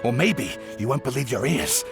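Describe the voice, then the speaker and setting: A man voicing a theatrical male writer in a video game, grandiose and teasing, with a gravelly edge. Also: mid-range voice, lively intonation, moderate pace, natural voice. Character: theatrical showman writer, male adult, dramatic gossip. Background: game music.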